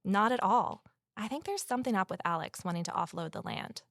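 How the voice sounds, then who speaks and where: Earnest lady amateur detective for an audio drama, gentle and confiding, no room sound at all. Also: mid-range voice, expressive, fairly quick, creaky, no echo, clean studio sound. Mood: matter-of-fact, confiding. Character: warm, earnest woman amateur investigator, confiding and thoughtful. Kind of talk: audio drama dialogue and first-person narration.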